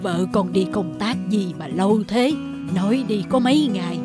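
A nagging elderly mother in a cartoon family drama, played by a woman. She speaks at a middling pitch for a woman, fretful and reproachful, with music underneath. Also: animated, normal speed, natural voice. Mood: fed up. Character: nagging, worried elderly mother, suspicious of her daughter-in-law. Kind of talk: animated moral drama voice acting.

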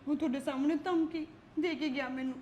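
A woman's voice: high-pitched, fairly even intonation, quick pace, a little mellow, lo-fi sound, a little hiss or hum, lightly acted. An actress playing a frightened young woman who pleads and protests through tears. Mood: tearful pleading. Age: young adult.

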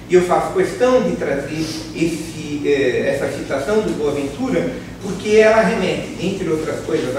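A middle-aged Brazilian man giving an academic lecture in a large bare classroom, speaking in a measured, thoughtful way with hesitant restarts and a frequent questioning tag. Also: on the high side. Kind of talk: academic lecture to course participants. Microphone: far camera, no mic. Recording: echoey room from far off, noisy.

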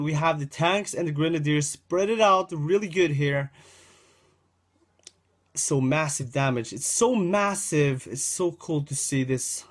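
A young man talks through his gameplay in a slightly higher-than-usual voice, rambling and repeating his phrases as he speaks straight to viewers. His throat sounds dry. He is recorded indoors on a home webcam setup. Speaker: gaming channel host. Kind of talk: casual gameplay commentary.

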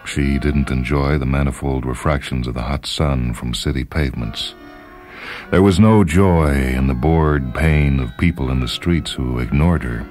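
Man, extremely low voice, measured dramatic narration, literary story reading, music underneath. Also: a little mellow. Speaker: short story reader and radio host. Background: background music.

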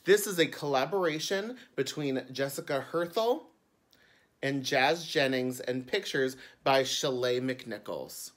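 A man's voice: mid-range voice, expressive, unhurried. A man, a drag queen, reading a picture book aloud expressively for children, with chatty asides. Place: indoors before a curtain.

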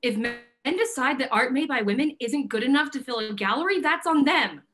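Actress doing a bossy fired-up gallery boss lady, high voice, very emphatic, play reading. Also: lively intonation, brisk, fairly bright tone. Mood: proud, firm. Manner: assertive, emphatic, declarative. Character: fiery, bossy, idealistic woman gallery owner. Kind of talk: virtual staged play reading. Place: plain indoor room, video call.

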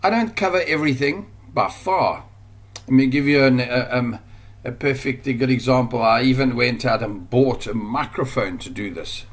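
A man's voice: mid-range voice, moderate pace. A man with a South African accent giving an informal screen-recorded tutorial, rambling with fillers and restarts. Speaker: online course instructor. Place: at a computer, voice-over screen recording.

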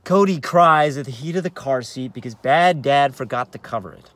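A man in his thirties with an American accent, an actor reading a play as conversational, halting narration with uneven emphasis, recorded inside a car. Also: mid-range voice, a bit bright, dry recording. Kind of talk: dramatic narration of a play read on book. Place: inside a parked car in daylight.

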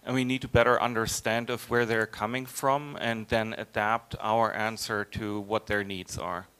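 A man speaks on a panel at a conference table, weighing his words with many pauses. His voice is smooth and resonant. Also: lowish voice, flat delivery, fairly bright tone. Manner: thoughtful, halting. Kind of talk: panel discussion.

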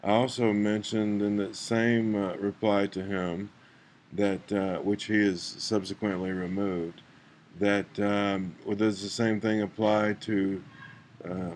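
A man with a fairly low voice talks very slowly through an off-the-cuff online commentary. His delivery is halting and rambling, with an aggrieved edge. Faint noise sits behind him. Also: darkish tone. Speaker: video maker, commentator. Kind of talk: unscripted screen-recording commentary. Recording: clear background noise.

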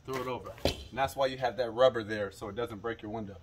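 A man's voice: mid-range voice, fast talker, background noise. Young man giving outdoor how-to tips. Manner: relaxed, instructive, addresses viewers directly. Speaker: fishing channel host. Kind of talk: casual how-to demonstration. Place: suburban driveway outdoors. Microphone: camera mic, moving toward and away.

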